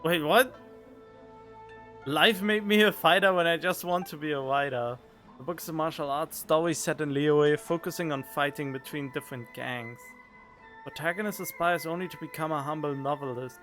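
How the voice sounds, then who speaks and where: Bright, higher-voiced man streaming a game. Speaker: gaming streamer. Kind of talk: casual game commentary, reading dialogue aloud. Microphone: close studio condenser microphone. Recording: game music behind.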